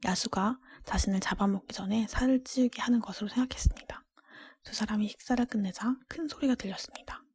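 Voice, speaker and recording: A woman whispers a fairy tale in an ASMR style. Her voice is soft and dark-toned, almost entirely breath. She narrates gently, keeping everything hushed. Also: medium pitch, quick pace, husky, dry recording. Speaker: storybook reader.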